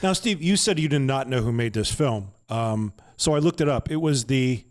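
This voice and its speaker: A man with a medium-pitched voice chats casually as a comedy podcast co-host. He chips in with dry remarks and banter. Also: animated, normal speed.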